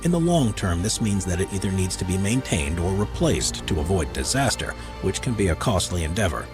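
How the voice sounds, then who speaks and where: A man narrates an explainer in a fairly low voice with very lively intonation. His phrasing is scripted, measured and emphatic, over clear background music.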